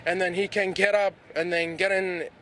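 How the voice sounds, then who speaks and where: High-pitched male witness outdoors. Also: level tone, moderate pace, pushed and bright. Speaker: eyewitness interviewee. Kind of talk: spontaneous street interview. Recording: faint urban street noise.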